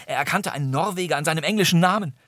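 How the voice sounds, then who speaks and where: A man's voice: on the high side, rapid-fire, a bit bright, no room sound, studio quality, lightly acted. A man playing a self-assured show leader in a comic radio play, explaining things breezily and emphatically. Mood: defensive, breezy. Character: self-assured male show leader defending his silly plot.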